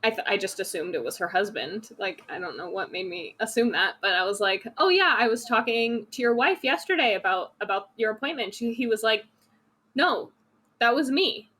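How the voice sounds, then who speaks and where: A woman's voice: medium pitch, animated, normal speed, pushed and bright, slightly rough. A woman on a podcast telling an embarrassing story in casual chat, with frequent likes and ums. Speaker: podcast guest.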